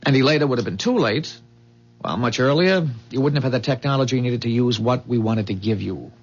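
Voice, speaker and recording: An actor playing a calm, earnest man from a dying future, explaining gravely in measured 1970s radio drama style. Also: medium pitch, close to an everyday voice. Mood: calm, assured. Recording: dull, muffled 1970s radio drama recording.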